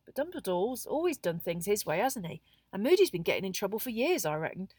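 A woman voicing a blunt, excitable teenage schoolboy in a storybook, talking in a casual, slangy way. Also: medium pitch, brisk, breathy edge, no echo. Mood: offhand.

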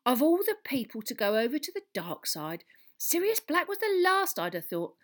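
Woman as a gossipy, animated pub landlady. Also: high-pitched, normal speed, pitched above the performer's own voice. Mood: curious. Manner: gossipy, expressive. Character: chatty, curious female pub landlady.

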